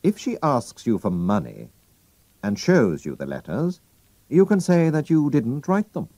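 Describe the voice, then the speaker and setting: A man voicing a calm, clever male detective who talks briskly as he reasons. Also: mid-range voice, no echo, pitched above the performer's own voice. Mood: cool, knowing. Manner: brisk, deducing, lively.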